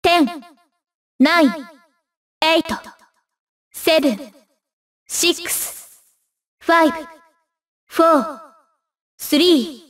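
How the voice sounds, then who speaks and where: A young female anime fighter calling out matches in a video game. Her voice is very high, with a Japanese accent. She delivers short, emphatic announcements, sounding set back from the mic. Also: slow, pauses often, fairly bright tone, distant mic, exaggerated voice. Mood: measured.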